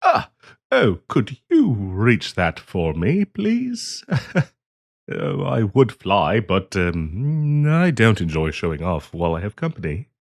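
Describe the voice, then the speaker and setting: A man's voice: fairly low pitch, huge pitch swings, slow pace, vocal fry, heavily acted. A man playing a polite, hesitant half-dragon diplomat in a fantasy roleplay audio, genteel and eager to please as he hosts the listener. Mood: delighted, bashful. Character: polite, flustered half-dragon diplomat hosting tea in his cave.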